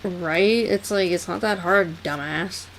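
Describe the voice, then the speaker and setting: Creaky-voiced woman on a noisy call. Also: lowish voice, takes it slowly. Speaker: caller. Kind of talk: casual phone chat. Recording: noisy, distant leaked phone call.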